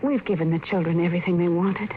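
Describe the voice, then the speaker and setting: A woman playing an anxious, gentle mother who speaks in a soft, worried way, in 1950s radio drama acting. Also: fairly low pitch, steady tone, quick pace, natural voice. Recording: muffled, loudly hissing 1950s radio broadcast.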